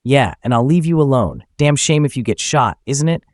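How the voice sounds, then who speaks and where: A man's voice: medium pitch, animated, normal speed, no echo, studio quality, pitched above the performer's own voice, natural voice. A man voicing a betrayed husband in a narrated story, falsely polite and coolly menacing, with a smug, controlled delivery. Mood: cool menace.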